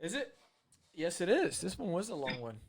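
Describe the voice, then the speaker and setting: A man co-hosting a podcast, tired after a long day, speaking in a low-key, hesitant way that trails off. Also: big pitch swings, normal speed, crowd noise. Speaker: podcast co-host. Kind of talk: casual chat.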